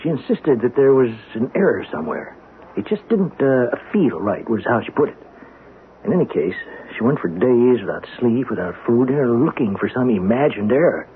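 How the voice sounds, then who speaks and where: A brusque, weary surgeon played by a male actor in an old radio play. His mid-pitched voice is curt and patronizing, heard through a thin, phone-like broadcast with light noise. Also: expressive, normal speed, natural voice. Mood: grave explaining. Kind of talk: old-time radio drama acting.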